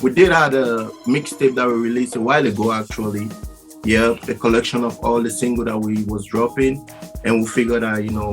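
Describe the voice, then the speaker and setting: Low-voiced male musician from Sierra Leone, easygoing and playful, soft music underneath. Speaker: musician guest. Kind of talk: casual interview.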